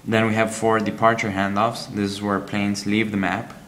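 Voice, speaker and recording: A man reviewing a game app, walking through its screens in a halting, explanatory way with frequent ums. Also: fairly low pitch, flat delivery, fairly bright tone, slight background noise.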